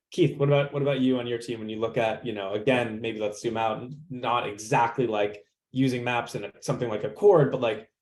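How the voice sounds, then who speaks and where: A man's voice: medium pitch, a little mellow. A man hosting a discussion in a conversational, informal way, thinking aloud and posing rhetorical questions. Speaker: panel moderator. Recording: roomy, echoey sound.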